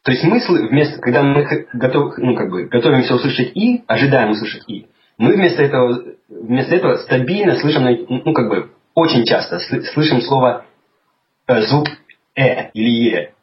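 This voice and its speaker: A man's voice: medium pitch, lively intonation, moderate pace. Hesitant man, slightly muffled recording. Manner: thoughtful, many hesitations and restarts. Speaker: guest. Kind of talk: casual conversation. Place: lives in England. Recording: slightly dull lo-fi audio with faint noise.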